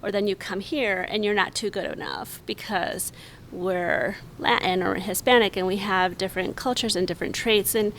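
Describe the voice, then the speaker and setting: A woman in her forties, a podcast guest, talking in an earnest, reflective way with many pauses. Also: mid-range voice, steady tone, a bit bright, a little hiss or hum, poor audio. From United States, raised in Mexico. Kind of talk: casual conversation.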